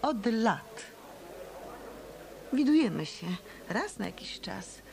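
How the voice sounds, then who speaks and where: An actress playing a self-assured, sardonic, tough nightclub singer in a hard-boiled radio play. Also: female voice, fairly high pitch, very animated, takes it slowly, creaky, background noise, exaggerated voice. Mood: sardonic, cool. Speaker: radio drama actor.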